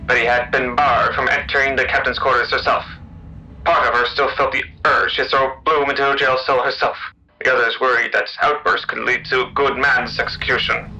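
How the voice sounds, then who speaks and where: A man's voice: medium pitch, level tone, brisk, natural voice, rough recording. A man playing the narrator of an adventure audio drama, reading scripted narration evenly. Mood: measured. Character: even-toned male narrator of a serial adventure. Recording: clear music behind narration. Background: music.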